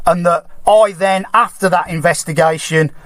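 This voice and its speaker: A man's voice: sits high, moderate pace, fairly bright tone, no echo. A man speaking as a caller on a formal complaint call, insistent and blunt, repeating phrases for emphasis.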